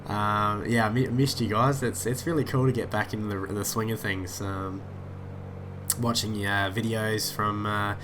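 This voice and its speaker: A man's voice: on the low side, fairly even intonation, unhurried, music in the background. A man with a head cold that makes him sound nasal, casually commentating his flight simulator session and often losing his thread. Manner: rambling, many "uh" fillers, easily sidetracked. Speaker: flight sim YouTuber.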